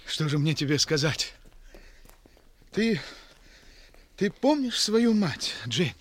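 An actor playing an earnest, wistful schoolteacher, solemn and hesitant, in a 1960s Soviet radio drama. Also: male voice, a little high, very animated, slow pace, slight background noise. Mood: hesitant, gentle. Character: earnest, wistful village schoolteacher, gentle with children.

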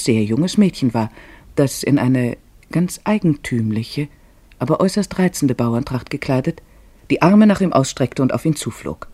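Mellow, husky male storyteller. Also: medium pitch, no room sound. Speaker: narrator of a radio play. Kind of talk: scripted literary storytelling in German radio drama.

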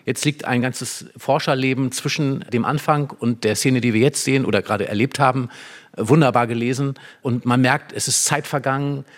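A man with a medium-pitched voice speaks as a drama expert. His delivery is conversational and explanatory, like spoken analysis in a discussion. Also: moderate pace. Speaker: drama expert commenting on a scene.

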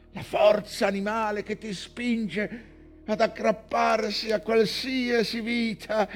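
An actor playing an anguished, defiant shipwrecked whaling captain in a theatrical monologue, delivered in halting phrases that swing between hushed dread and cries. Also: male voice, sits high. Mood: tormented, crying out. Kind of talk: dramatic theatrical monologue, literary stage acting. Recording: music under the voice.

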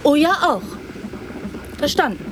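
A naive, affectionate girl in a radio drama, with a fairly high voice. She speaks very slowly in halting, simple childlike phrases, trusting and open. Faint noise sits behind her. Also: very animated. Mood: eager, proud.

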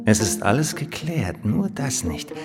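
A clipped, officious policeman in a radio play. His middle-pitched voice varies widely, with loud plucked music behind it. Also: very animated, unhurried. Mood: matter-of-fact. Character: curt, insistent police officer. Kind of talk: German radio drama.

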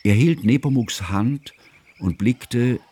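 A man playing a learned, devoted friend who narrates a radio drama in formal, ornate, measured literary style. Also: medium pitch, dark tone, husky. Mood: fond, formal.

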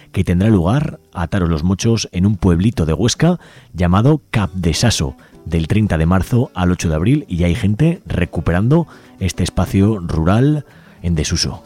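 A man with a very low, dark, mellow voice hosts a community radio show in a relaxed manner, now and then tripping over a word. Soft music plays faintly underneath. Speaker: radio host. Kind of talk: community radio program presenting. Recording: faint music bed behind.

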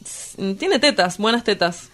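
A woman's voice: medium pitch, expressive, measured pace, fairly bright tone. Argentine woman doing a young guy street poet for radio drama, idle, vulgar, cynical, teasing. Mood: crude amusement.

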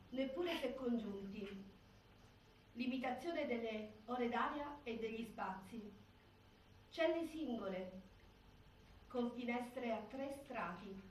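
A woman reading scripted narration for documentary theatre in an echoey hall. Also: on the high side. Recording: echoey hall, distant, faint noise.

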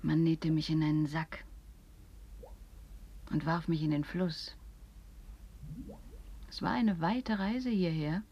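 A woman's voice: deep voice, steady tone, slow pace, pauses often, heavily acted. An actress playing a proud, wounded drowned noblewoman in a German radio play, with a theatrical, defiant delivery. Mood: wistful, hushed. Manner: theatrical, defiant, sinking into breathy whispers. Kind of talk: German radio play acting, literary dialogue. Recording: dull, muffled radio drama recording.

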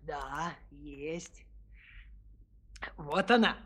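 An actor playing a polite but shrewd young shepherd in a children's audio play, simple and deferential in manner. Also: male voice, a little high, very slow, fairly bright tone, heavily acted. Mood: modest, helpful.

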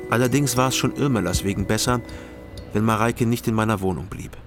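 An actor playing a brooding East German investigator in a radio crime drama, speaking in a measured, introspective way. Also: male voice, lowish voice, soft background music, close to an everyday voice. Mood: sober, watchful. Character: brooding East German former criminology student, narrating investigator. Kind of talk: radio crime drama acting and first-person narration.

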